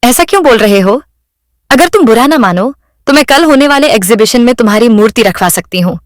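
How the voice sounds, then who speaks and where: Female actor as an encouraging cartoon sculptor woman, fairly high voice, gentle, reassuring, dry studio sound. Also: expressive, brisk, no echo, lightly acted. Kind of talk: cartoon moral story voice acting.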